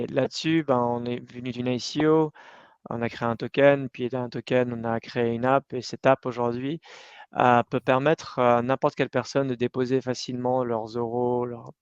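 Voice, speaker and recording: Middle-aged man with a smooth, even voice. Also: medium pitch, no room sound. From Iran and Switzerland, raised in the United States. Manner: animated storytelling with many fillers and restarts. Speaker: company cofounder, interview guest. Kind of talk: casual conversational interview. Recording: dull, slightly lo-fi dry recording.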